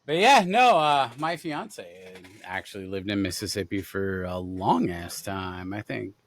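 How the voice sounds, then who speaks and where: A man's voice: low-pitched, big pitch swings, unhurried, a bit bright. A man from Denver hosting a casual gaming podcast, rambling and upbeat, with lots of fillers and restarts. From Denver, USA. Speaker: podcast host.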